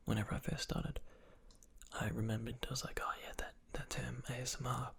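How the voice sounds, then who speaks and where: A young man, an ASMR channel creator, answers viewer questions in a soft whisper, rambling with fillers. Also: mid-range voice, level tone, fairly quick, gravelly, husky.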